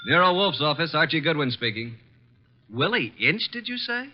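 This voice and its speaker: An American actor playing a wry, snappy detective's assistant and narrator in the style of 1940s radio mystery. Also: male voice, on the high side, big pitch swings, moderate pace, background noise. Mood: wry, brisk. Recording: dull old 1940s radio recording.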